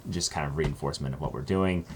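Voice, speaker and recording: A man chatting casually and reading letters aloud as co-host of an unboxing, halting when he ad-libs and expressive when he reads. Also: rumbling low. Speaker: co-host of a reaction channel. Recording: faint background noise.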